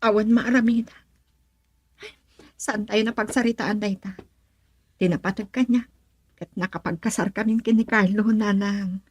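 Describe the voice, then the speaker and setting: Headstrong young bride-to-be, husky-voiced woman acting. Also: mid-range voice, fairly even intonation, moderate pace, long pauses, no room sound, close to an everyday voice. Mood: defiant. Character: headstrong young bride-to-be defying her father. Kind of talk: Ilocano radio drama acting.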